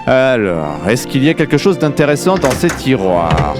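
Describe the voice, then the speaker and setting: A man's voice: mid-range voice, very animated, normal speed, close to an everyday voice. A man playing a squeamish, bumbling village police inspector in a comedic audio drama, speaking casually and hesitantly. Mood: casual, musing. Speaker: narrator and character in audio comedy. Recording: music under the voice.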